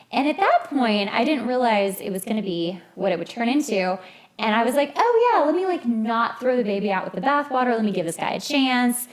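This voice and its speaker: A woman with a slightly high voice hosts a relaxed podcast conversation. Her delivery is informal and playful, full of slang and casual asides. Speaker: podcast host. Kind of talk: casual chat.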